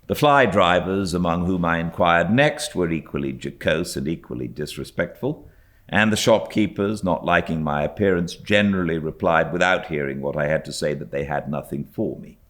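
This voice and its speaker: A British man reading a classic novel as an audiobook narrator, in a measured, expressive storytelling manner. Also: deep voice. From Britain. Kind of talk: scripted literary narration.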